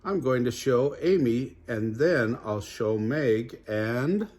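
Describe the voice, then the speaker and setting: Older man playing little preschool girl, storybook character, eager chatterer, excitable, husky voice, slow pace. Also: mid-range voice. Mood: eager, excited. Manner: chattering, listing things eagerly.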